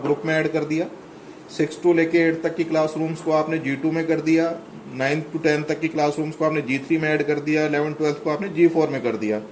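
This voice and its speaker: Higher-voiced man explaining a gadget. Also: a little mellow, slight background noise. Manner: plain explanatory, step by step, some hesitations. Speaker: product demonstrator. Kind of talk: product walkthrough demo.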